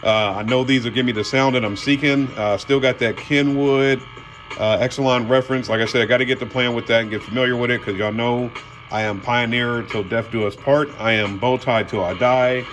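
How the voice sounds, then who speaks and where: A man's voice: medium pitch, steady tone, fairly quick, a bit bright, soft background music. A man hosting a car audio vlog, talking casually and colloquially, addressing viewers as y'all and man. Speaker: car audio channel host. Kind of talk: casual product unboxing talk.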